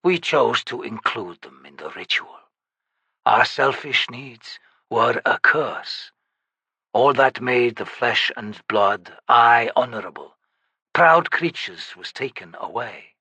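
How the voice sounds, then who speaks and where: A man's voice: mid-range voice, animated, bright, forceful tone, slightly rough, no echo, heavily acted. Branko, a man voicing a solemn undead shaman. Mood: solemn sorrowful. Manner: slow, solemn, measured. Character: ancient undead male shaman, grave and sorrowful.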